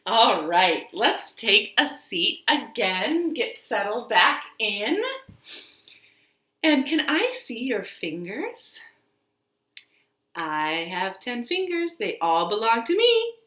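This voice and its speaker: Sing-song woman storyteller, very animated and bright. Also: mid-range voice. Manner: expressive, sing-song, exaggerated delivery for young children. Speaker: children's storytime host at a library. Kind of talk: children's storytime with action songs, rhymes and picture-book reading. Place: living room at home. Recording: echoey room.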